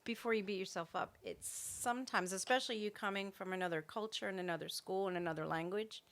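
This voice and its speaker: A woman's voice: mid-range voice, quick pace, a bit bright. An older woman, a longtime schoolteacher, explaining things in a matter-of-fact way as a podcast guest. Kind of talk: casual interview chat.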